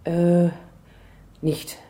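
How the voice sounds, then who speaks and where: A woman's voice: lowish voice, monotone, extremely slow, warm tone, slightly rough, full character voice. A woman in her twenties playing a hesitant unemployed actress in a naturalistic radio play, speaking plainly. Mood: hesitant, sceptical. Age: 20s. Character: hesitant unemployed young actress being questioned.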